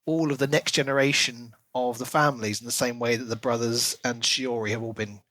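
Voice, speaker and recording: A man's voice: mid-range voice, fairly even intonation, fairly quick, bright, forceful tone, no room sound, rough recording. Man chatting casually, close dry sound. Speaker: book podcast co-host. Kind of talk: casual book discussion.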